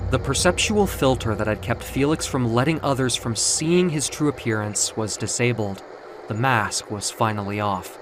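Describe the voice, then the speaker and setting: Theatrical male narrator over music. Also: mid-range voice. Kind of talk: dramatic scripted story narration. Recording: music under the voice.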